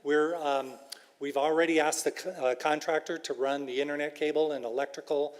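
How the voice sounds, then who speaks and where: A man's voice: on the high side, fairly even intonation, a little fast, in a large hall. A man representing a school who answers residents' questions at a public workshop. He chooses his words with care and qualifies what he commits to. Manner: careful, hedging answers.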